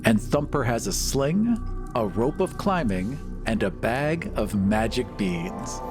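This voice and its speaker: A man's voice: medium pitch, very animated, takes it slowly. A man tells his part of a tabletop game in a casual storytelling style. He speaks as a player at the table, with faint music behind him.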